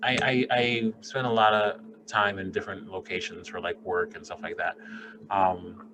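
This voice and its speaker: A man with a fairly low voice talks through a casual podcast conversation in an earnest, thoughtful way and swears freely. Steady background noise runs clearly under the recording. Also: moderate pace. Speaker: podcast co-host, doctor.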